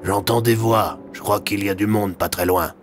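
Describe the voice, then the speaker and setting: Un homme qui interprète un commissaire de police pragmatique et prudent, au ton sec et directif, dans une fiction audio. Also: male voice, fairly low pitch, steady tone, moderate pace, soft background music, natural voice. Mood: dry, wary. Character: pragmatic, cautious male police commissioner leading a group. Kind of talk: audio fiction character acting.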